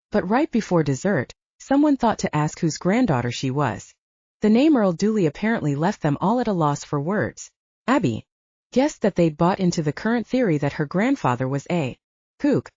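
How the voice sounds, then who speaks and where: Expressive low-voiced woman narrating fiction. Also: dry recording, lo-fi sound. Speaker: audiobook narrator.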